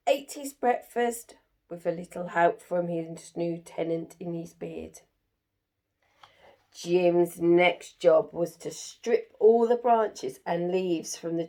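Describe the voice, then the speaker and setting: A woman's voice: fairly low pitch, darkish tone. A woman storyteller reading a children's picture book aloud with expression.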